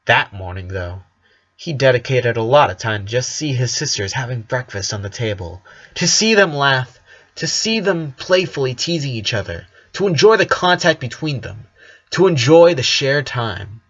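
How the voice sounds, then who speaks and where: A man reads a story aloud as narrator in a medium-pitched voice. He is expressive and sometimes stumbles and corrects himself. The recording is slightly dull and lo-fi. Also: normal speed. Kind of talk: reading fan fiction aloud.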